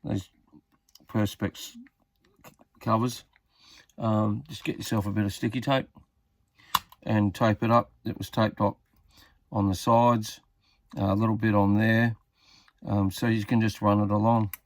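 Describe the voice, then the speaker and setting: A man talks through a hands-on job in a casual, tentative way. His voice sits fairly low with plenty of vocal fry. He mutters as he works, and the sound is very dry, with hardly any room on it. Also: level tone, a little slow, pauses often, dry recording. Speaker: hobbyist demonstrating a radio repair.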